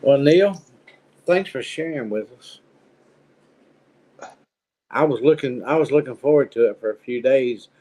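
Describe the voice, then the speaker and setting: A man speaking casually as the host of a relaxed conversational show. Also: medium pitch, a little slow, long pauses. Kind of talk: casual chat.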